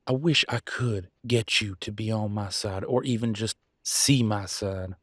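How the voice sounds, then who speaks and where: A man playing a conflicted werewolf pack alpha in an audio roleplay, arguing emphatically in colloquial, sweary speech with dramatic pauses. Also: fairly low pitch, husky, dry recording, clean studio sound. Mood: pleading. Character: Damien, conflicted male werewolf pack alpha, defiant and remorseful. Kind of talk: scripted audio roleplay, one side of an argument.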